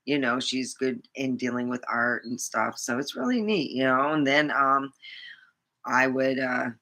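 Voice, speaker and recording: A woman's voice: very deep voice, steady tone, measured pace, pushed and bright. A woman vlogger chatting casually and rambling, with many fillers and restarts.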